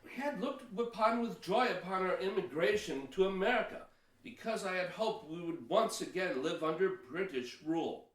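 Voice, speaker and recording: Man as higher-voiced, cautious Pilgrim elder. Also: expressive, moderate pace, fairly bright tone. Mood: doubtful, wary. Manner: hesitant, archaic formal phrasing. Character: cautious, pious, loyal English Pilgrim church elder, male. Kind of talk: amateur historical audio play acting. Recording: roomy echo, distant from mic.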